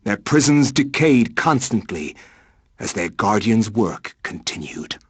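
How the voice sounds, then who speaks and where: Grave, learned horror chronicler. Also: male voice, lowish voice, sing-song, husky, no room sound, full character voice. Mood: grave, measured. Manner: measured, formal, literary. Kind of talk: scripted storytelling narration for a horror game.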